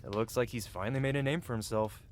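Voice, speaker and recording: A man's voice: mid-range voice, fast talker, slight background noise, lightly acted. A man playing a cocky, flirtatious medieval blacksmith in a fantasy ASMR roleplay, with a playful, teasing and theatrical way of talking. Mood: flirty, playful.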